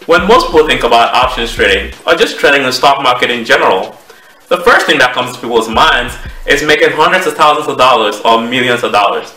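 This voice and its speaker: A man in his thirties with a Cameroonian accent, giving a measured, explanatory presentation to camera as a trading educator. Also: mid-range voice, expressive, pushed and bright, faint music behind. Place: small home office, indoors. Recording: roomy echo, distant microphone.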